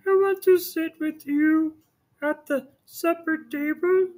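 A woman doing a squeaky little frog. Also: fairly even intonation, a little mellow. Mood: pleading. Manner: very high, slow and drawn out. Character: small ugly male frog, pleading and persistent.

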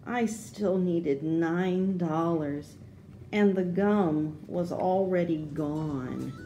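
A woman reading a children's storybook aloud, with expressive, deliberate phrasing for young listeners. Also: lowish voice, soft background music.

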